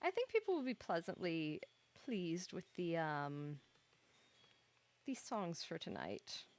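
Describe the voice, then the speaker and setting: A woman's voice: lowish voice, sing-song, takes it slowly, darkish tone. A woman hosting a livestream, speaking in a casual, rambling way as if thinking aloud. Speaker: livestream host, VTuber. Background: background music.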